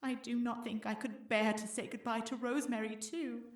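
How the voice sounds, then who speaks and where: A woman's voice: on the high side, steady tone, moderate pace, no room sound, studio quality, lightly acted. A woman voicing an anxious, skeptical but loyal young Tudor woman in an audio play, pleading in old-fashioned speech. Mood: sad, pensive.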